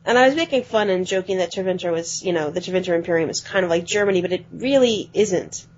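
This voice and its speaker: A woman co-hosting a podcast, chatting quickly about video games and often letting her phrases trail off. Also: fairly low pitch. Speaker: podcast co-host. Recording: dull lo-fi podcast audio.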